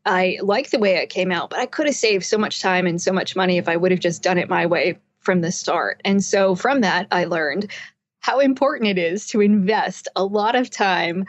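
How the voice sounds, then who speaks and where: A woman's voice: lowish voice, normal speed, dry recording. Woman guest on dry, dull call audio. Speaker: interview guest, psychotherapist and author. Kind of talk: conversational storytelling in an interview. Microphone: internet voice call.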